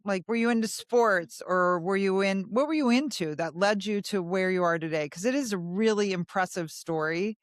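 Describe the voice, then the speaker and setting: A woman's voice: lowish voice, no echo, clean studio sound. Chatty, enthusiastic woman podcast host. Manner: chatty, enthusiastic, many fillers and restarts. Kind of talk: interview, casual chat.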